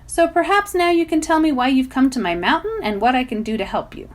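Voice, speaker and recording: A woman's voice: high-pitched, animated, fairly quick, voice pushed up. A woman voicing an ancient, courteous male guardian of a mountain in a storybook, speaking formally and kindly. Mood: helpful, formal.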